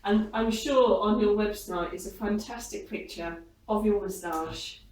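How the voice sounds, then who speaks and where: A woman puts questions as a radio interviewer, casual and curious. Her voice is of average female pitch and comes from a little way off, with strong echo from the room around her. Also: a little slow, darkish tone. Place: backstage at a music hall. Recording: echoey room, distant microphone.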